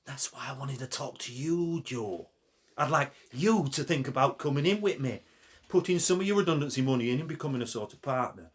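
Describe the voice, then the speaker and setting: A man's voice: mid-range voice, a little fast, husky, natural voice. An actor with a Northern English accent playing a loyal, wry former pitman in a naturalistic radio play, plain-spoken and dryly humorous. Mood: wry, matter-of-fact.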